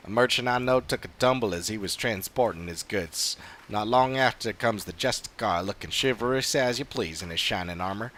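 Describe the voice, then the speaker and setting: A man's voice: fairly low pitch, fairly quick, fairly bright tone, lightly acted. A man voicing a cynical, experienced villager in a fantasy game, scornful and emphatic. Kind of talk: scripted game dialogue reading.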